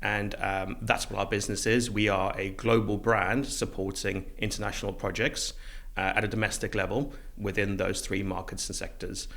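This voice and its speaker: A man's voice: fairly low pitch, flat delivery, moderate pace, fairly bright tone. A middle-aged man from South Africa speaking conversationally as the guest in a podcast interview. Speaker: interview guest, recruitment business co-founder.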